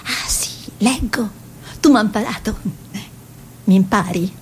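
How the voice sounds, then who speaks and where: An actress playing a proud, dreamy, sensual peasant-born woman who paints and models, speaking lyrically in theatrical radio drama style, often dropping to a breathy near-whisper. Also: mid-range voice, a little slow, a little hiss or hum, natural voice. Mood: playful, coaxing.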